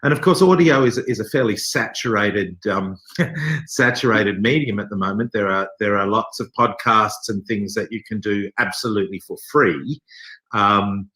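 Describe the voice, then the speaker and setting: A man's voice: lowish voice, sharp tone. An Australian man speaking as an interview guest from a theatre company, explaining things conversationally with hesitant asides. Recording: echoey room sound.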